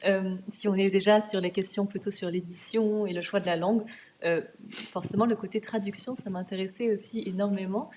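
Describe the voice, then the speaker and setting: A woman student putting questions to a guest author, her phrasing polite and a little searching. Her voice sits at a middle pitch, with faint room noise behind her. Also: steady tone, brisk, a little mellow. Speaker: student interviewer. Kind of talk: asking questions at a book talk.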